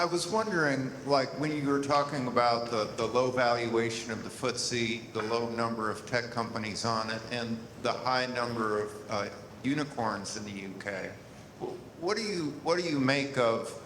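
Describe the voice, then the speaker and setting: Hesitant man asking in an echoey hall. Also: medium pitch. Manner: hesitant, searching for words. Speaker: audience member asking a question. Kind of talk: audience question. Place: large lecture hall. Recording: echoey hall with audible room noise.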